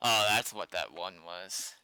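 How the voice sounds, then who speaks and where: American male streamer, bright and forward voice on the low side, informal, thinking out loud on a close mic. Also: measured pace, clear, resonant tone, no echo. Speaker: game developer livestreaming. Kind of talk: casual livestream chat, thinking aloud while coding.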